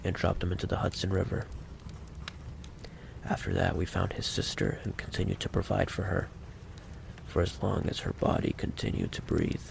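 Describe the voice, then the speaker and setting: A man playing a menacing, possessive mafia boss in an ASMR roleplay monologue, with a low, gravelly voice and a deliberate, coolly condescending delivery. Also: slight background noise, heavily acted. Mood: calm menace.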